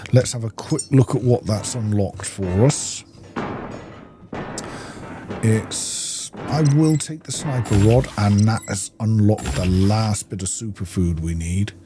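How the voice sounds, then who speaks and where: A man's voice: on the low side, takes it slowly, noisy background. A man giving casual gameplay commentary, thinking aloud and muttering to himself as a video host. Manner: chatty, muttering to himself while playing. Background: loud music and game sound.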